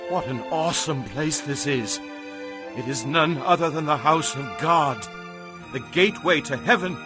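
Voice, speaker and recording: A man's voice: fairly high pitch, normal speed, over music, natural voice. An actor playing an earnest, devout young herdsman in a dramatized audio Bible, speaking emphatically. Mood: awed.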